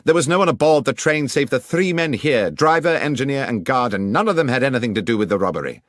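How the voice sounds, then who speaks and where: A man's voice: mid-range voice, animated, fast talker, dry recording, studio quality, pitched above the performer's own voice, natural voice. A man voicing a brilliant, coolly confident detective with a British accent and a precise, assured delivery in an audiobook. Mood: accusing. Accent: British English.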